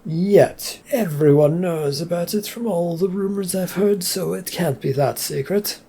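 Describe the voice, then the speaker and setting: A reader voicing a smug male colleague who talks in a smooth, insinuating way. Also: medium pitch, a little slow, mellow, a little hiss or hum, pitched above the performer's own voice. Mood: sly.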